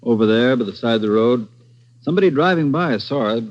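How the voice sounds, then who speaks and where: A man playing a gruff crime-scene doctor. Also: medium pitch, animated, fairly quick, slight background noise, natural voice. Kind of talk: 1940s radio acting. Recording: dull old radio broadcast.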